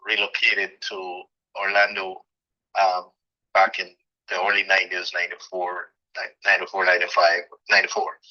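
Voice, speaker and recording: A man's voice: deep voice, pushed and bright. A middle-aged man from Guyana, a guest on an interview show, answering with many fillers and restarts. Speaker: guest, president of a community association. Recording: dull, lo-fi sound.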